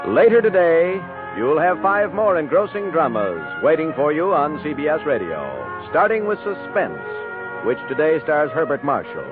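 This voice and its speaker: A man's voice: fairly high pitch, big pitch swings, moderate pace. A man with an American accent reading scripted credits and promos as a 1950s network radio announcer. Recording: tinny 1950s radio broadcast, music behind.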